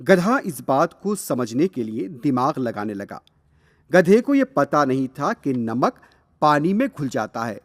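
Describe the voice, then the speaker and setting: A man narrating a scripted children's fable as a storyteller, expressive and deliberate. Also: fairly high pitch. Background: music.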